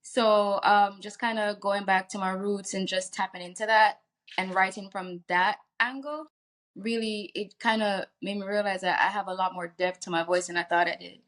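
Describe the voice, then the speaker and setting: A woman's voice: medium pitch, steady tone, normal speed, a bit bright. A woman from Jamaica, a singer talking candidly in a casual interview, laughing easily and restarting her sentences. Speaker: guest singer.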